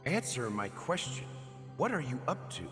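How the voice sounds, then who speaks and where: A man playing a blunt, gruffly protective comrade in a game. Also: medium pitch, sing-song, moderate pace, natural voice. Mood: exasperated, blunt. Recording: game audio, long reverb, loud music.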